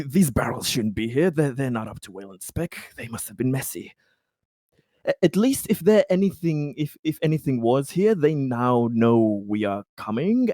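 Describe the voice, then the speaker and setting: A man's voice: mid-range voice, darkish tone, no room sound, clean studio sound, close to an everyday voice. Man playing a stammering, bumbling excuse-maker. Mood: flustered, defensive. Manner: stammering, hesitant. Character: bumbling, nervous man making lame excuses.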